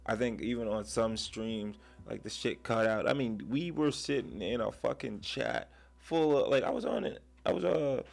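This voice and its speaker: A man who is sick with a raspy voice, hosting his channel and ranting in a casual, profane way from his man cave at home. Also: medium pitch, moderate pace, hardly any pauses, darkish tone. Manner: profane, heated rant. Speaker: channel host.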